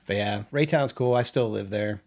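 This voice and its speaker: An older man talks easily in a relaxed podcast chat, with a low, gravelly voice. He laughs often and breaks off and restarts his sentences as he goes. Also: normal speed. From Kansas City area, Missouri. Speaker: podcast co-host. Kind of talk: casual chat.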